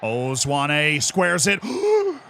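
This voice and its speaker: An American man live-streaming a game, commenting excitedly, shouting at big moments and cracking jokes in between. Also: on the high side, drawn-out words. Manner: excitable, shouts at goals, jokey asides.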